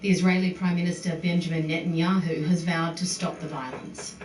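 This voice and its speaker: A lower-pitched woman narrates a news report in a steady, clipped way. Her voice comes from far off in a roomy space, with faint background noise. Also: fairly quick, soft background music. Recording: distant replayed broadcast, roomy, faint noise.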